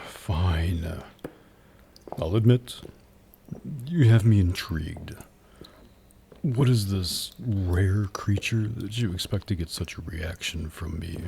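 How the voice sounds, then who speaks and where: A man playing a suave, haughty demon buyer in an ASMR roleplay, speaking in a low, husky, gravelly voice with teasing, sardonic pauses. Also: mellow, slight background noise, full character voice. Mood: intrigued, cool. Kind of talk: ASMR roleplay audio drama.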